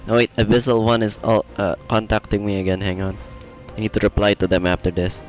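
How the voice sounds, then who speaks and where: Male streamer, low voice, casual laid-back reactions to a game, faint music behind. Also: little pitch movement, brisk. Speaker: livestreamer. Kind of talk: casual gameplay commentary.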